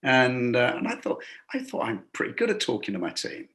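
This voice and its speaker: A man guesting on an interview show, talking about leadership in a loose, rambling way. He keeps restarting his sentences and slips into quoted dialogue as he tells his stories, at a mid-range pitch for a man. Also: huge pitch swings, a little fast, fairly bright tone. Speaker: guest, hospitality business coach. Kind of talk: conversational interview storytelling. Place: lives and works in a Gulf city.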